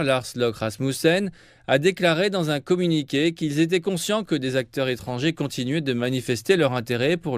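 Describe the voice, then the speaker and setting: Man, news voice-over, measured reading, dry sound. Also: medium pitch, dry recording. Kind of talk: scripted news narration.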